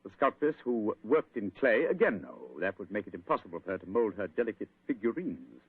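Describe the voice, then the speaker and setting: An actor playing an assured gentleman detective explaining his deductions in an old radio drama. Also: on the low side, sing-song, a little fast, breathy edge. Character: calm, clever gentleman detective. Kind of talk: 1940s radio acting. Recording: tinny old radio broadcast.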